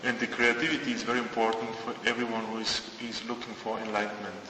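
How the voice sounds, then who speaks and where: A man interpreting an interview into English, phrasing things haltingly and imperfectly. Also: medium pitch, flat delivery. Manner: halting, non-native phrasing. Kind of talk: consecutive interpreting. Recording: distant echoey hall with clear noise.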